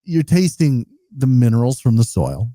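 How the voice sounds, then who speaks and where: Mellow-voiced man co-hosting a podcast. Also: medium pitch, very animated, moderate pace, no room sound, clean studio sound. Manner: questioning, thinking aloud, hesitant. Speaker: podcast co-host. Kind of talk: casual podcast conversation.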